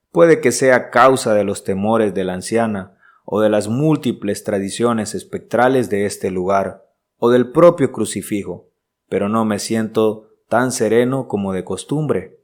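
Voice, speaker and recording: An actor playing an earnest, uneasy traveller who narrates his diary in measured, literary style for a radio drama. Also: lowish voice, close to an everyday voice.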